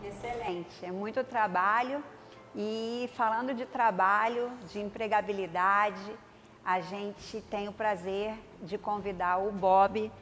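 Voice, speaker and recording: A woman hosting a talk show, introducing the next guest. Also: mid-range voice. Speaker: host, moderator. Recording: hall reverb, faint music.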